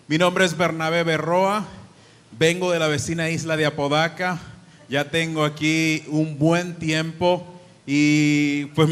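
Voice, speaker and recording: A Dominican-accented man preaching in a church, his fairly high voice carried on a long hall reverb. He moves between fervent prayer, scripture reading and easy chat with the congregation. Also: fairly bright tone. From Dominican Republic. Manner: prays and reads scripture aloud, then talks chattily to the congregation. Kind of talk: sermon.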